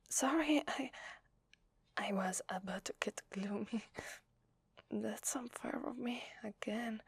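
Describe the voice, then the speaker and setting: A woman with an Italian accent playing a gentle, apologetic vampire in an ASMR roleplay, whispering softly and hesitantly close to the microphone. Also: fairly high pitch, dry recording, full character voice. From Italy. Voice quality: soft breathy whisper. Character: gentle, apologetic female vampire confiding in a human. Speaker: ASMR voice actor. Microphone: close microphone, dry.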